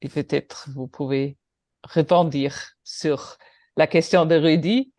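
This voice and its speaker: A panel moderator asks long questions very slowly and haltingly, filling gaps and restarting. The sound is dry and close. The pitch sits in the middle range. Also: steady tone, darkish tone, dry recording. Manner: halting, many fillers and restarts. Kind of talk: live panel discussion.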